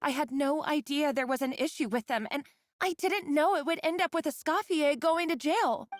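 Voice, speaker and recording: A woman's voice: sits high, moderate pace, lightly acted. A woman voicing a timid young female kitchen worker who stammers and halts, tearful and guilt-ridden. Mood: remorseful. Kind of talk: game voice acting. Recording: game audio with soft music.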